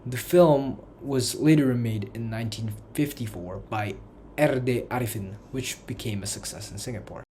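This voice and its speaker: A man's voice: medium pitch, moderate pace, hardly any pauses, a little mellow. A man reading a scripted history narration for a personal school project, in careful textbook phrasing. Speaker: student narrator.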